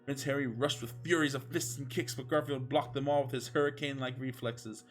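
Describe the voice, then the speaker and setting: A man's voice: mid-range voice, fairly even intonation, brisk, soft background music. A man reading fan fiction aloud as a comic dramatic narrator, mock-serious and emphatic.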